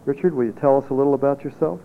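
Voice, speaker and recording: A man's voice: mid-range voice, steady tone, very fast, creaky. Man introducing a speaker, tinny sound. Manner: brief, inviting introduction. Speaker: session chair. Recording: tinny phone-like lo-fi recording with faint hiss.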